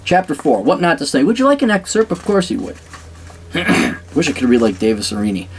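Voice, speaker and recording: A man's voice: fairly high pitch, big pitch swings, brisk, slight background noise. A man talking straight to camera in a blunt, sarcastic rant, swearing freely and rambling with restarts. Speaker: vlogger giving blunt career advice. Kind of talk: casual monologue to camera.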